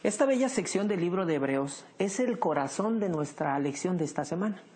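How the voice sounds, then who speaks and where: A man hosting a Bible study program, leading the discussion in a measured teaching tone. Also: high-pitched, animated, a little mellow.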